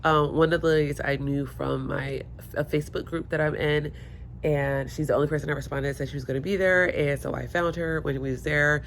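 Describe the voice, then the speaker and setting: A woman's voice: low-pitched, fairly even intonation, a little fast, vocal fry, slight background noise. A woman vlogging casually at home, chatty and rambling, stuffy and hungover, talking into her phone. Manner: chatty, rambling, self-mocking. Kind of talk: casual chat to camera. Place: home room by windows. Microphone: phone held or propped.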